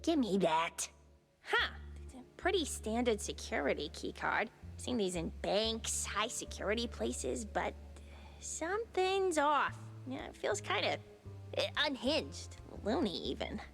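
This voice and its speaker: An actress playing a sassy, quick-witted young mouse tinkerer in a cartoon game, teasing and expressive. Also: female voice, on the high side, creaky, faint music behind, full character voice. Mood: teasing, impatient.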